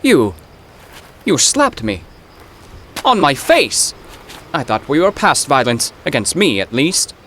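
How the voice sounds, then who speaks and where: A man's voice: a little high, huge pitch swings, slow, long pauses, fairly bright tone, slight background noise, heavily acted. An actor playing a pompous, bewildered professor out of his own time in a comic audio drama, speaking in florid, formal, theatrical language. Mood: solemn, indignant.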